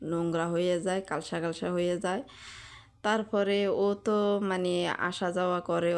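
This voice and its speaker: A woman with a Bangladeshi accent narrating her daily life at home in an informal, chatty, colloquial way. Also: on the low side. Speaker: home vlogger. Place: at home, kitchen and balcony.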